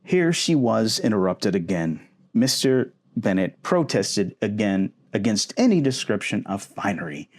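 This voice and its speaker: A man reading a novel aloud in an expressive ASMR boyfriend roleplay style. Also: lowish voice, measured pace.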